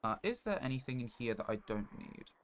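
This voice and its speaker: A man's voice: mid-range voice, sing-song, a little fast, a bit bright. A man live-streaming a game, thinking aloud in a casual, rambling and understated way. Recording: clear background noise, clicks.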